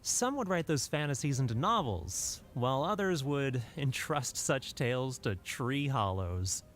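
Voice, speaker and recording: A man's voice: mid-range voice, lively intonation, a little slow, fairly bright tone, slight background noise. A man voicing a self-assured storyteller fond of old tales, with a grandiose manner, in an anime-style video game. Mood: knowing. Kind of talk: scripted anime game dialogue.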